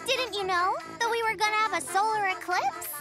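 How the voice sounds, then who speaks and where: An actor voicing a cheerful, friendly young female fox in a children's cartoon, excitable and a bit knowing. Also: very high voice, brisk, over music. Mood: confident.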